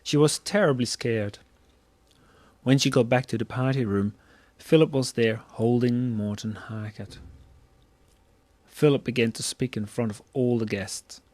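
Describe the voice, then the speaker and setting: A man's voice: mid-range voice, measured pace, pauses often, darkish tone, dry recording. A man narrating a scripted story as a storyteller.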